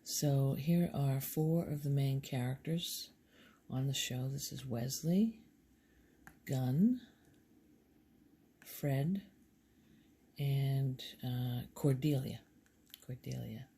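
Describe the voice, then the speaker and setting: A woman hosting a soft-spoken ASMR video, talking casually in a gentle, hushed and unhurried manner. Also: a bass voice, slightly rough. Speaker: host of a relaxation video channel.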